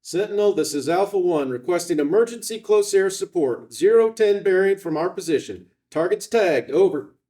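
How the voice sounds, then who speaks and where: A man's voice: high-pitched, expressive, moderate pace, hardly any pauses, warm tone, distant mic. A man playing an urgent ground soldier radioing for air support in a table read, clipped and military.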